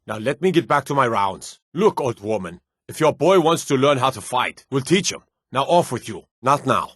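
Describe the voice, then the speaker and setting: An actor playing a gruff, watchful fantasy city guard in a video game, speaking in a stern, curt and authoritative manner. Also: male voice, mid-range voice, big pitch swings, moderate pace, a bit bright, dry recording, clean studio sound. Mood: irritated, dismissive. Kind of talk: scripted fantasy game dialogue.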